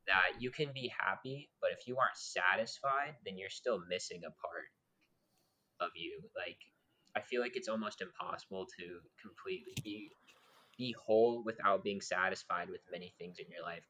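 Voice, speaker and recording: A young man co-hosting a casual podcast, loud and talkative, swearing freely and rambling on tangents. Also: low voice, moderate pace, poor audio. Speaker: podcast co-host. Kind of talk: casual chat.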